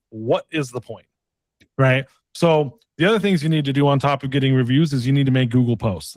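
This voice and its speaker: A man working as a sales coach, delivering a casual, pushy sales pitch as a role-play and often checking for agreement. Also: a little mellow, no echo. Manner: pushy, persistent, uses tag questions; casual, colloquial phrasing.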